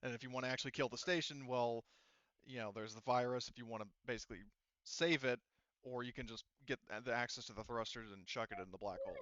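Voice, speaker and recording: A man's voice: medium pitch, fairly even intonation, fast talker, a bit bright, clean tone, no room sound, dull audio. A man acting as game master, explaining the tabletop game situation to players and often rephrasing himself as he goes.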